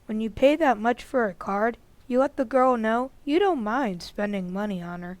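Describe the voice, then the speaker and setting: A woman's voice: fairly high pitch, expressive, normal speed, darkish tone, dry recording, lightly acted. Woman playing a bossy older brother, dry sound. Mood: superior, matter-of-fact. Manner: superior, matter-of-fact. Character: bossy, know-it-all older boy, the Nelsons' son. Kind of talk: old-time radio comedy acting.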